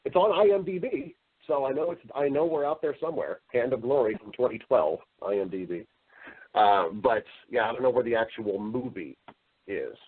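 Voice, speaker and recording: A man's voice: mid-range voice, expressive, normal speed, husky. An American man telling his story casually as an interview guest, chatty and rambling, often repeating words. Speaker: interview guest, voice actor and entertainer. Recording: muffled, narrow phone-like sound.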